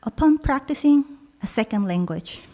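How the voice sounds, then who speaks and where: A woman poet, native Korean speaker raised in Peru, reading her own poems aloud in a measured, pausing delivery. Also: fairly high pitch, expressive. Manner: slow, measured delivery with soft pauses between lines. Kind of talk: poetry reading.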